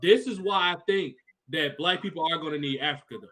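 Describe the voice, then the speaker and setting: A man's voice: fairly high pitch, fairly quick, bright, forceful tone. A man from Chicago hosting a casual livestream chat, talking bluntly and emphatically with a lot of profanity.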